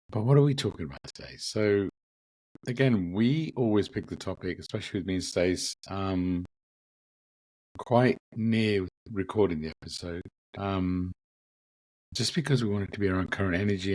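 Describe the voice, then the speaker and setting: A man hosting a spiritual podcast, gently reflective, his voice a bit croaky from a lingering cough. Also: sits low, no echo, low-quality recording. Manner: gentle, reflective, with frequent ums and repeats. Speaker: podcast host. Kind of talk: casual spiritual podcast talk.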